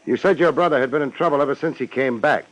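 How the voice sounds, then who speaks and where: An actor playing a laconic, wisecracking private detective in a dry, clipped 1950s radio drama style. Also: male voice, mid-range voice, fast, fairly bright tone, lightly acted. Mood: dry, skeptical. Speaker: lead actor and narrator. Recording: noisy vintage 1950s radio broadcast.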